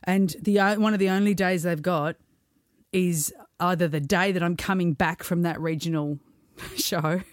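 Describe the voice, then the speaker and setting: An Australian woman, a singer, chatting on a podcast in a lively storytelling way and laughing often. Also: on the low side, normal speed, no room sound, studio quality. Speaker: singer guest. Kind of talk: casual podcast chat.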